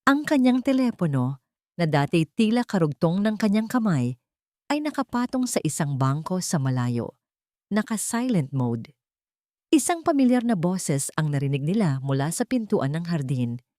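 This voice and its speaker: Female storyteller for romantic audio drama: slightly low, highly expressive pitch, measured emotive phrasing. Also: no echo, clean studio sound. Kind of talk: dramatic scripted storytelling narration of a love story.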